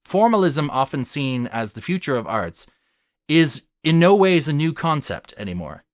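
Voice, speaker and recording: Man delivering an essay, dry and lively. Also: on the high side, moderate pace, no echo. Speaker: video essayist. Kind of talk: scripted argumentative narration.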